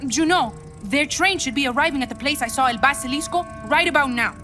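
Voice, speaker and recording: A woman's voice: high-pitched, a little fast, lightly acted. A woman playing a twelve-year-old boy guerrilla in a game cutscene, earnest and defiant, with a Latin American Spanish accent. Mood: earnest, uneasy. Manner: emotional, youthful, impassioned delivery. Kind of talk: dramatic video game cutscene acting. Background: music.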